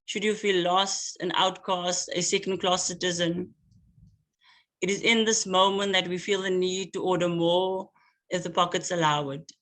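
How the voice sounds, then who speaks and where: Man reading poetry slowly. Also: high-pitched, steady tone, pushed and bright. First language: Afrikaans. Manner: slow, measured recitation with hesitant asides between pieces. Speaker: poet.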